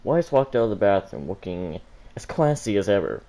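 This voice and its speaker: A man reads a story aloud as an amateur would, stumbling here and there over words. His voice sits fairly low and has a very soft, dark, relaxed tone. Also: moderate pace. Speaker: fan fiction reader on a gaming channel.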